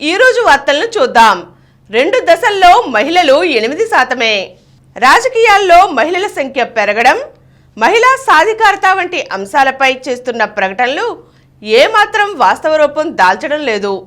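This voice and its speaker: A woman's voice: high-pitched, big pitch swings, moderate pace, fairly bright tone. A woman reading scripted news as a bulletin presenter. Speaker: news reader.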